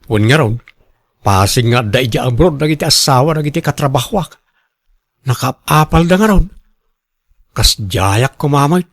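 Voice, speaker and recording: An actor with an Ilocano accent playing a boastful, defensive husband who loves showing off, in a radio drama. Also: male voice, mid-range voice, expressive, normal speed, long pauses. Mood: envious. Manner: showy, bragging. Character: boastful, defensive husband and co-worker obsessed with costly branded clothes.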